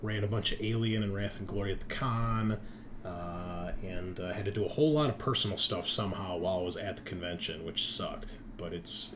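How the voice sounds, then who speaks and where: Male game master, low voice, sweary casual banter, clear background noise. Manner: sweary, teasing.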